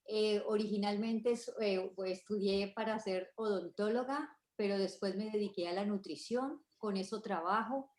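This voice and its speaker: A woman's voice: mid-range voice, normal speed, hardly any pauses, a little mellow. A woman, a nutritionist, introducing herself eagerly on a video call. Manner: eager, enthusiastic. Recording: echoey room on a call.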